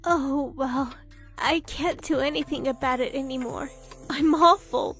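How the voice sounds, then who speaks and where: A woman's voice: high-pitched, a little slow, fairly bright tone. A woman playing a shy, earnest young novelist in love in an anime-style dub, speaking in a sweet, apologetic, emotive way. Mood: remorseful, tender. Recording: music bed behind the voice.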